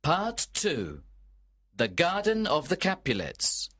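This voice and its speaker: Slow, expressive male storybook narrator. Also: fairly high pitch. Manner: measured, deliberate. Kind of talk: scripted storybook narration for learners.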